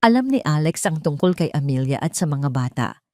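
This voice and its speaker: A woman's voice: deep voice, sing-song, a little fast, darkish tone, dry recording, clean studio sound. A woman narrating a scripted romantic audio drama as the storyteller.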